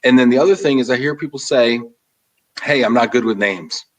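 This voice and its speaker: A man hosting a casual interview, talking in an animated, emphatic way as he gives advice. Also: medium pitch, brisk, far from the mic. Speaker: host. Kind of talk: casual interview and live chat.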